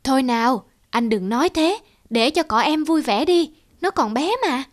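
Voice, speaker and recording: A woman voicing a gentle, protective grown blade of grass in a cartoon, soothing like a big sister. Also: high-pitched, animated, normal speed. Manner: soothing, reassuring. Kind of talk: animated film dubbing.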